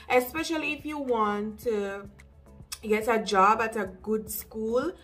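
A young woman with a Jamaican accent giving casual, direct advice to camera as an English teacher, with lively asides. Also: a little high. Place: small room indoors.

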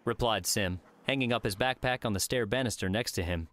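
A man's voice: fairly low pitch, brisk, a bit bright. A man reading a work of fiction aloud as scripted audiobook narration.

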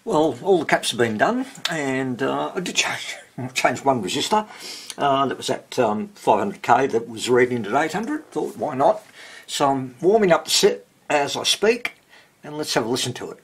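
An older man with a husky voice, giving casual, halting hands-on commentary as he tinkers at an indoor workbench. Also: medium pitch, expressive. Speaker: radio repair hobbyist.

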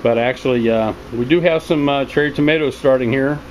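A man's voice: mid-range voice, brisk. Man narrating casually over noisy background hum. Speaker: home hydroponics hobbyist narrating a video tour. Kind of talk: casual unscripted walkthrough narration. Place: small basement indoor grow room. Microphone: handheld camera, narrator behind it. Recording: steady loud hum and water noise.

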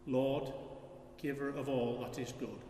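A man's voice: medium pitch, fairly even intonation, unhurried, a crowd in the background. Older man praying, distant and echoey. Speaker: lay reader of prayers. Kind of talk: read intercessory prayers. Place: large stone church, lectern. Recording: distant, echoey church, faint noise.

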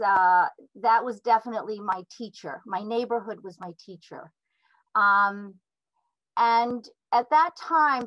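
Woman, 60s, first language Ukrainian, slow and hesitant lecturer on a muffled, dry video call. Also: medium pitch, fairly bright tone, no room sound. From Philadelphia, USA. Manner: hesitant, restarts often, slow and careful. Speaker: artist lecturer. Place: home room with a wood stove. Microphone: laptop webcam mic on a video call.

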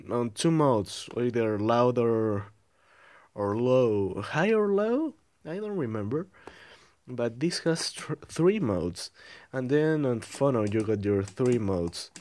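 Slow, halting man on a noisy recording. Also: mid-range voice, a little mellow. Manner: halting, rambling, informal. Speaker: vintage radio collector showing a find. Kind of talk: casual unscripted show-and-tell. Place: indoors, small cluttered room at home. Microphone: handheld phone or camera built-in mic. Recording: noisy handheld camera sound with clicks.

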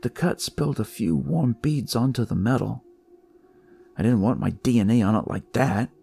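A man's voice: medium pitch, normal speed, gravelly, mellow, breathy edge. A man voicing a detective who tells his story in the first person, conversational and theatrical, as in a dramatic audio story. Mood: uneasy, wry. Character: male detective recounting a sinister, eerie discovery. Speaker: storyteller narrating in character. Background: music.

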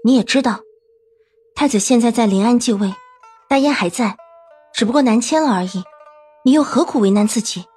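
Earnest, high-voiced young woman general. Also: brisk, long pauses, husky, faint music behind. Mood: earnest, gentle. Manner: earnest, calm, persuasive. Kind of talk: Chinese costume audio drama acting.

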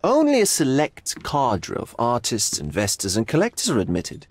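A theatrical male art gallery owner from a video game, sly and pleasure-seeking. His slightly husky voice swoops widely as he draws out his musings. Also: mid-range voice, huge pitch swings, full character voice. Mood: intrigued, grave. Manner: theatrical, drawn-out, philosophizing delivery. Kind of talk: video game cutscene acting.

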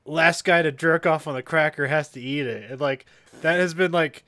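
A man co-hosting a podcast, his voice a little stuffy, as if he has a cold. The tone is mid-pitched and bright, picked up close and dry with no room sound. He talks in halting bursts, leaning on fillers. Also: no echo. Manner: halting, filler-heavy, quick to laugh. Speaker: podcast co-host. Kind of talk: casual banter.

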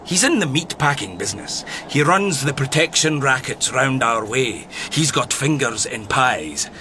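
Pushy, bright-voiced newspaper reporter. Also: male voice, medium pitch, very animated, a little slow, slight background noise. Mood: needling, amused. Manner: insinuating, chuckling, probing. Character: pushy, wry, needling 1920s newspaper reporter. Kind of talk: radio drama acting.

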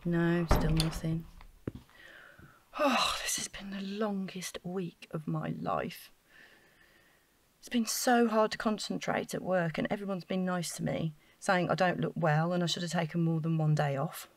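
A woman's voice: lowish voice, a little slow, dry recording. An actress playing an anxious, vulnerable woman in a naturalistic audio drama, hesitant and emotional, with sighs. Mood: weary, worried. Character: Rachel, anxious, vulnerable young woman, apologetic and earnest.

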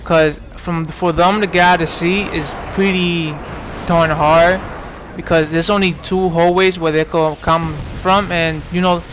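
A man's voice: fairly high pitch, little pitch movement, a little slow, hardly any pauses, noisy background. A man in his twenties from New York giving casual, rambling commentary over gameplay, full of fillers and restarts. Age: 20s. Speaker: gaming commentator.

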